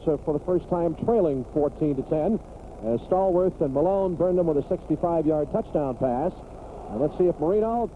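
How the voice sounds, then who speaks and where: A man calling American football play-by-play live on the radio. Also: high-pitched. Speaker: play-by-play sports announcer. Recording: noisy tinny 1980s radio broadcast.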